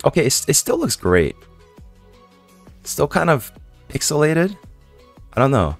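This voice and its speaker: A young man with a hoarse voice from a cold, chatting casually to viewers while playing a game, rambling with lots of fillers. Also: fairly high pitch, big pitch swings, measured pace, soft background music. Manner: chatty, rambling, many fillers and self-questions. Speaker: gaming YouTuber.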